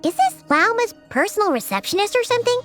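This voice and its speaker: Super high squeaky little floaty pal, bubbly and nosy, pitch all over the place. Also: female voice, very animated, fast, a bit bright, faint music behind, full character voice. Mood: flustered. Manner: bubbly, talks about herself in third person. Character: tiny chatty floating female companion, excitable and nosy. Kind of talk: game cutscene voice acting.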